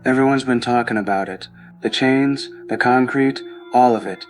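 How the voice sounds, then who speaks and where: A man playing a panicked young man phoning for emergency help in an audio drama, halting and repeating himself. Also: medium pitch, faint music behind, lightly acted. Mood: anxious, rattled.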